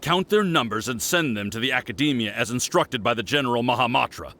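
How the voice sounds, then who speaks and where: An actor playing a dutiful, resolute military captain in a video game, formal and firm. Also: male voice, medium pitch, lively intonation, fairly quick, sharp tone, a little hiss or hum.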